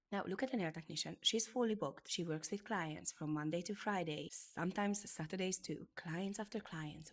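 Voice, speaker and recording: A middle-aged woman from Hungary whose first language is Hungarian, giving an earnest, emphatic motivational talk as a beauty business educator. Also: fairly low pitch. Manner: earnest, emphatic, repeats phrases for effect.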